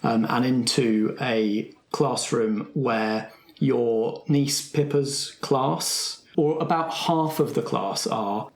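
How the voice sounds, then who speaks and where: A man's voice: mid-range voice, slow pace. Man game master, echoey room. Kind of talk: casual improvised narration of a tabletop game.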